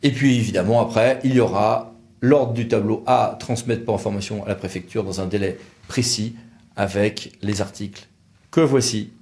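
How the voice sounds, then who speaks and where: A man's voice: fairly low pitch, steady tone, moderate pace, a little mellow. A man with an accent from France presents a legal explainer as a lawyer, in a didactic, conversational and emphatic manner. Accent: French from France. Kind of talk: educational legal explainer to camera.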